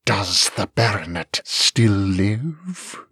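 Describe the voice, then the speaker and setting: Storybook dragon, huge, old and territorial, voiced by a man, deep booming rasp, very slow, lively swoops of pitch. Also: no room sound, clean studio sound, full character voice. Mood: angry, suspicious. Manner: angry clipped staccato. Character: huge ancient male dragon, angry and territorial. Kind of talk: audiobook character voice.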